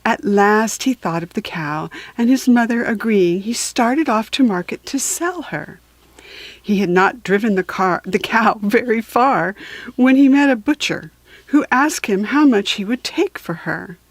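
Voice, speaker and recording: A woman reading a children's storybook aloud for beginning readers, deliberate and patient, with chatty asides to listeners. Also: a little high, animated, no room sound. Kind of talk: slow read-aloud storybook narration for beginners.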